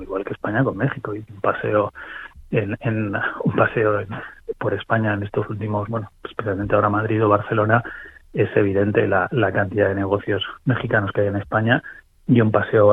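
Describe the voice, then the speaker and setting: Spanish guy, lowish husky voice, stop-start thinking, dry tinny call audio. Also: no echo. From Spain. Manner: thoughtful, restarts often. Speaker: guest commentator. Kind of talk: casual interview talk. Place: remote call from abroad. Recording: phone-like remote line.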